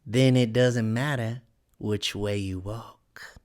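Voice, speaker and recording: A storyteller voicing a grinning, mischievous mad cat from a storybook, with drawn-out, teasing words and a breathy edge. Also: mid-range voice, fairly even intonation, a little mellow, close to an everyday voice. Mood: sly, languid. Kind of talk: storybook character voice.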